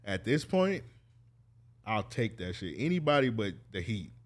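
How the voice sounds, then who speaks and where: A man's voice: lowish voice, very animated, unhurried. A man co-hosting a podcast in blunt, dismissive, profane casual banter. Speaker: podcast co-host.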